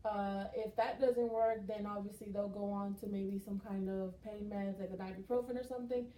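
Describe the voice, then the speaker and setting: Young woman from Texas, mellow voice. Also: mid-range voice, steady tone, normal speed. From Texas, United States. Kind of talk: conversational class presentation. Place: skills lab room. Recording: roomy echo, faint noise.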